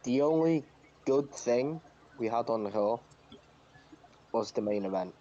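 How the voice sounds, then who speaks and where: Man, mid pitch, blunt unimpressed panelist, casual chat, muffled lo-fi mic, slight noise. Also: animated, measured pace, mellow. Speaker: podcast panelist. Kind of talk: casual opinionated review chat. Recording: dull lo-fi mic with faint noise.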